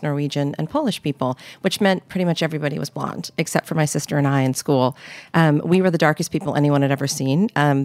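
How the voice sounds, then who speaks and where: Low, gravelly-voiced woman guest. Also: no room sound. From Northern Michigan, United States. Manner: relaxed, wry, anecdotal. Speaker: podcast guest. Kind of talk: conversational interview storytelling.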